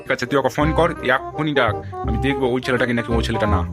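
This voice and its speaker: A man's voice: mid-range voice, very fast, lightly acted. A man voicing a blustering, colloquial middle-aged headmaster and father in an amateur comic cartoon. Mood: indignant. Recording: music behind the voice.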